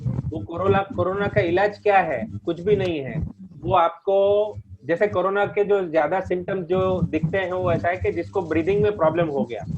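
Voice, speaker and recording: A man leading a group call, giving informal, reassuring advice and explaining step by step, often repeating his points. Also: fairly high pitch. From India. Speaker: host of a group conference call. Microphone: conference call line. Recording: muffled conference call with heavy background noise.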